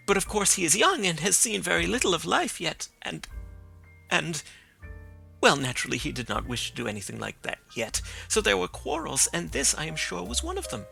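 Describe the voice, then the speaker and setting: A man's voice: mid-range voice, gravelly, pushed and bright, exaggerated voice, poor audio. A man voicing an earnest young woman who is devoted to her father, speaking in a raised, pleading voice.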